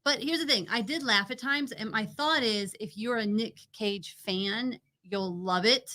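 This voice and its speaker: A woman's voice: fairly high pitch, a little slow, bright, forceful tone. A woman co-host chatting casually and with firm opinions as she reviews entertainment. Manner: opinionated, conversational, argues her point. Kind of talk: casual film and book review chat.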